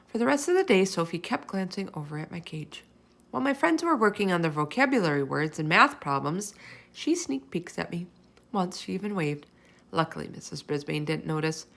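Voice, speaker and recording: A woman reading a children's chapter book aloud in an expressive storytelling style. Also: medium pitch, creaky. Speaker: storybook reader. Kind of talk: children's read-aloud, expressive scripted narration.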